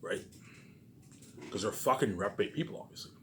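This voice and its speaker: A man's voice: fairly low pitch, lively intonation, brisk. A man delivering a rambling, unscripted monologue to viewers, ranting and swearing, and often repeating himself and the same verbal tags. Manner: repetitive, with frequent verbal tags; profane, ranting. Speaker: vlogger.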